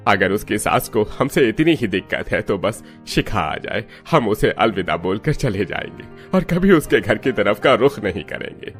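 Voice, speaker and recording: Concerned, wounded father played by a man, low and very animated, cartoon drama. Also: normal speed, hardly any pauses, soft background music. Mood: concerned, offended. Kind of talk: cartoon story dialogue.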